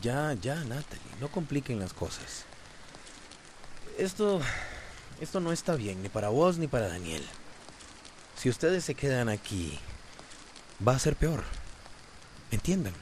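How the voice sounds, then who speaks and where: A man's voice: mid-range voice, very animated, a little slow, long pauses, a little mellow, slight background noise. An actor playing a worried, firm middle-aged father with a Costa Rican accent in a radio drama. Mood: reassuring, urging.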